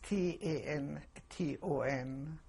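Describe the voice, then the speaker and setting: An elderly woman, giving testimony slowly and haltingly, with many pauses. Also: deep voice, creaky, mellow. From Poland. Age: 80s. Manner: slow, halting, pausing with fillers. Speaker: interviewee. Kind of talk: oral history testimony.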